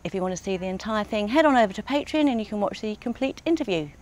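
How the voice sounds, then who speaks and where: A woman's voice: medium pitch, lively intonation, fast, darkish tone. A woman in her fifties co-hosting a sailing vlog, upbeat and chatty, recorded outdoors on a lapel mic. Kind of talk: casual chat. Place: outdoor terrace.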